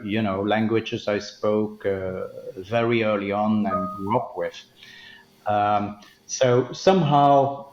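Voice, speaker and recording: A man's voice: fairly low pitch, darkish tone. Dutch-speaking man, roomy dull recording. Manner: thoughtful, hesitant with frequent ums. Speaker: guest, company legal officer. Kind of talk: conversational interview answers.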